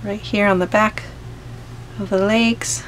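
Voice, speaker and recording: A woman art teacher calmly talking through a step-by-step painting tutorial as she paints at a table. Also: mid-range voice, slight background noise, poor audio. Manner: gentle, unhurried explaining while painting. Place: home studio, overhead camera on painting table.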